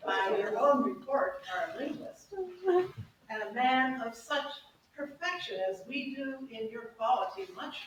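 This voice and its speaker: A woman playing a mocking, threatening outlaw in a staged Shakespeare reading, with theatrical, drawn-out delivery. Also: high-pitched, lively intonation. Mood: sly, amused. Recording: echoey room, distant mic, faint noise.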